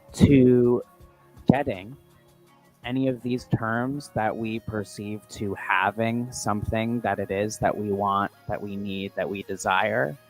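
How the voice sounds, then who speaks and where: A man speaking as a spiritual coach in an effusive, emphatic motivational style, with dramatic pauses and repetition. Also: fairly low pitch, rough recording. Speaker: spiritual manifestation coach and host. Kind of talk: spiritual motivational talk to listeners.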